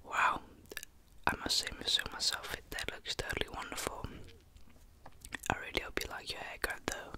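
A man's voice: moderate pace, natural voice. Teen guy playing a whispering friendly barber. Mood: soothing. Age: teens. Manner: soft, polite and reassuring. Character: friendly young male barber finishing a cut. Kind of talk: ASMR roleplay. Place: small bedroom with a sloped ceiling. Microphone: large studio mic close on the desk.

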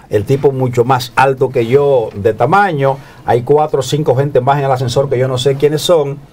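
A Dominican man in his sixties speaking as a TV panel guest, telling anecdotes and explaining in a deliberate manner. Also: mid-range voice, darkish tone. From Dominican Republic. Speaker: guest panelist, TV channel director. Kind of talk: anecdotal political talk. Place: TV studio. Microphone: lapel.

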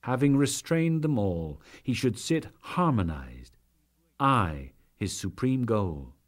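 Slow, dramatic male scripture narrator. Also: medium pitch, big pitch swings. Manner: solemn, measured, theatrical delivery with archaic diction. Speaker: audiobook narrator.

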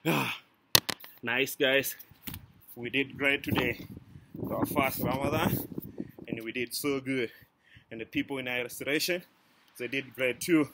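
Tanzanian man, slow unhurried talk, fitness vlog, backyard phone mic, heavy outdoor noise. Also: mid-range voice, fairly even intonation. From Tanzania, Zanzibar. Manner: short counted cues between breaths during exercise. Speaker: fitness vlogger. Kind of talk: workout coaching and chat to camera. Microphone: camera mic, phone up close for the talk.

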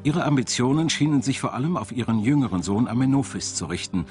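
A man's voice: mid-range voice, lively intonation, quick pace, darkish tone. A man reading scripted narration for a documentary as a studio voice-over. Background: music.

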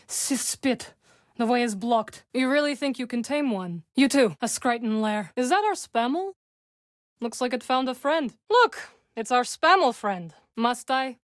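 Young witch, video game companion, calm, formal, dry wit, fairly high voice, dead-dry close studio sound. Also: dry recording. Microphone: close studio mic.

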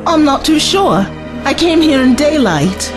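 A woman's voice: sits high, big pitch swings, a little slow, music in the background. An actress playing a plucky, exasperated young woman companion in an audio drama. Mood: determined.

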